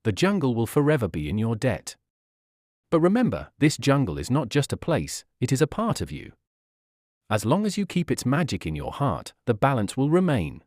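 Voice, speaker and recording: Storybook guardian creature, read by a man: benevolent, solemn, gentle but powerful, melodic intonation, dry close sound. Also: mid-range voice, huge pitch swings, moderate pace, dry recording. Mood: solemn, encouraging. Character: gentle, wise, powerful magical beast guarding the jungle. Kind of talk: children's storybook character.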